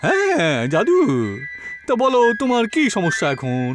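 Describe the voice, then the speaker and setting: A huge cartoon genie, acted by a man, speaks in a high, swooping voice. He is kindly yet commanding and theatrical, with music playing clearly behind him. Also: huge pitch swings, unhurried, full character voice. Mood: helpful. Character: huge male genie, kindly helper who turns stern. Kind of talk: cartoon dialogue.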